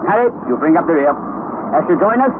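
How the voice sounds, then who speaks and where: An actor playing a fierce, excitable older uncle and explorer in an old radio drama, declaiming theatrically and giving commands. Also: male voice, fairly high pitch, fast, phone-quality sound, close to an everyday voice. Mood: commanding. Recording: thin, band-limited vintage radio recording, noisy.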